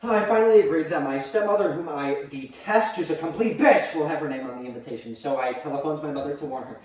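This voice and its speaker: An echoey, distant stage recording of a put-upon young groom played by a male actor, pouring out his lament. He talks very fast, exasperated and frantic, in a theatrical rush. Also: a little high, a little mellow, close to an everyday voice. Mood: worn down, indignant. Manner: rapid, exasperated, breathless rambling. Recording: echoey room, far from mic.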